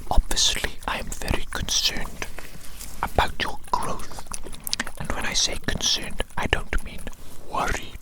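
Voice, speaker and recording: A performer voicing an evil mad doctor in a whispered ASMR roleplay, doting on a monster creation with slow, repetitive, formal phrasing. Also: close to an everyday voice, low-quality recording. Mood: doting, scheming. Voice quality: breathy unvoiced whisper.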